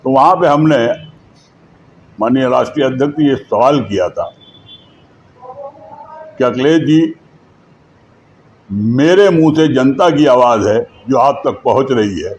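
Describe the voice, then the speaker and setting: A man giving an interview statement as a party politician, deliberate and phrase by phrase. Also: medium pitch, a little mellow. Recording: dull lo-fi sound, faint background noise.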